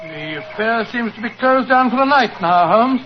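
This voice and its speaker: Bluff English gentleman sidekick, kindly, flustered stammer, high-pitched, noisy vintage radio play. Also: expressive, moderate pace. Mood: amiable, kindly. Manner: stammering, blustery exclamations. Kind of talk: 1940s radio acting. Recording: muffled, noisy 1940s radio recording.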